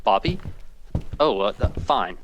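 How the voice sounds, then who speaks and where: An actor playing an anxious, determined family man searching for his brother in a radio drama, speaking in an earnest, halting, questioning way. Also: fairly low pitch, sing-song, noisy background. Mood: uneasy, questioning. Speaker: protagonist and narrator.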